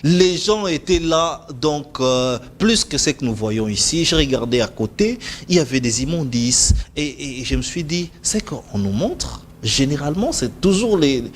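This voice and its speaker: Lively Congolese interviewer, animated pitch. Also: male voice, unhurried, few pauses, a little hiss or hum. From Democratic Republic of the Congo. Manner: animated, stammering repetitions. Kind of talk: conversational interview.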